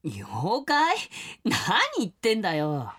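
A woman voicing an earnest, skeptical middle-school boy in an audio drama, acting his part and narrating his story with a husky voice. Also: lowish voice, wildly swooping pitch, slow, exaggerated voice. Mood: flustered, defensive.

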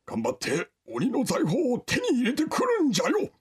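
A man's voice: very high-pitched, wildly swooping pitch, moderate pace, husky, pitched above the performer's own voice, heavily acted. A man voicing a bossy old grandfather in a comedic folktale radio drama, with exaggerated old-man speech. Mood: bossy, urging.

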